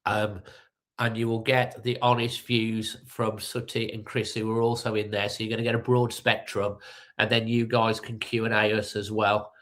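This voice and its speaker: Brief: stuffy-nosed middle-aged man, low and flat, chatty um-filled fan update. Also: normal speed. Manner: rambling, many ums and restarts. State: stuffy nose from a cold. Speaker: fan channel host. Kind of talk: casual live-stream update.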